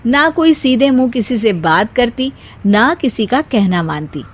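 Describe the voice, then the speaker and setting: A woman's voice: a little high, lively intonation, measured pace, slightly rough. A woman storyteller reading scripted narration for a Hindi moral bedtime story.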